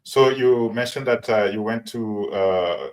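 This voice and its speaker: A man hosting an interview, asking long questions with frequent pauses and restarts. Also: on the low side. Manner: halting, many fillers and restarts. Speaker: interview host.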